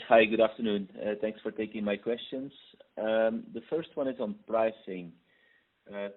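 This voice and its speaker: A man calling in as a financial analyst, politely putting structured questions on a conference call. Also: on the low side. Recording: narrow muffled phone line.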